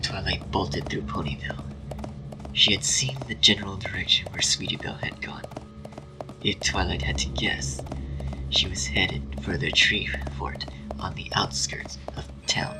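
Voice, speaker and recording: A man with a breathy voice reading a dramatic story as narrator. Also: deep voice, fairly even intonation, a little slow, in a whisper, over music, low-quality recording.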